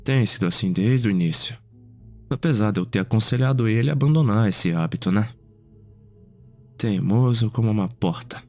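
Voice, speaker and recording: Male, low voice, ASMR roleplay as Cedric-type vampire noble: reflective, unhurried storytelling over music. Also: expressive, a little mellow.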